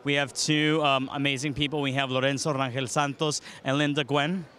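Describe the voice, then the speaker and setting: A man's voice: medium pitch, little pitch movement, fairly quick, a bit bright. A man in his thirties, interviewing guests with a handheld mic in a large indoor arena, upbeat and chatty. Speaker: event interviewer. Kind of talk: live event interview.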